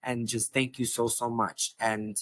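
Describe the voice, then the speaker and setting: A man's voice: measured pace, no echo. A man talks straight to his viewers in a loose, rambling way, circling back and restarting his sentences. His voice sits mid-range and is recorded close and dry, with no room sound. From New York. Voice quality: deep voice. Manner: rambling, restarts often, animated and earnest. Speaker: vlog host. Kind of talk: casual talk to camera.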